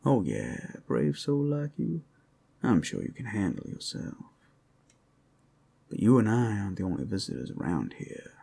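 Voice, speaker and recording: A man voicing a teasing werewolf urban explorer in an ASMR roleplay, folksy and coaxing with a gravelly voice. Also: on the low side, wildly swooping pitch, normal speed, mellow, full character voice.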